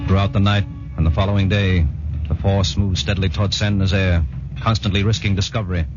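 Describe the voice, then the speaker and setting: An actor playing a commando narrator who dramatically recounts a naval raid in a muffled 1940s radio drama. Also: male voice, deep voice, level tone, brisk, loud music behind the voice, lightly acted. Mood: tense, measured.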